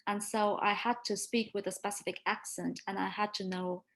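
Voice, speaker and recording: A woman's voice: medium pitch, flat delivery, moderate pace, fairly bright tone. A young woman from India, whose first language is Marathi, speaking earnestly and articulately as an interview guest, with small hesitations. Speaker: interview guest, medical student. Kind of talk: casual interview chat.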